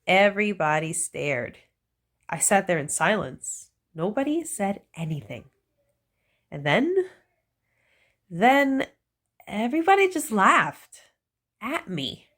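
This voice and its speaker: A woman reading a children's picture book aloud, with slow, playful storytelling and animated emphasis. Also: mid-range voice.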